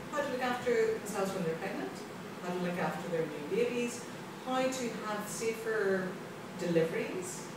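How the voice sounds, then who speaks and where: A woman explaining a radio drama project in an interview, enthusiastic and explanatory, recorded on a phone camera in an office. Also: mid-range voice. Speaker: country director. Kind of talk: interview answers. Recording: echoey room recorded from far off, noisy.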